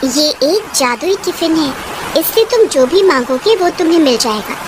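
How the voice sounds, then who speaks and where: Woman as a high-voiced, benevolent wind spirit. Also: expressive, normal speed. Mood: calm, instructing. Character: magical female wind spirit, calm and benevolent.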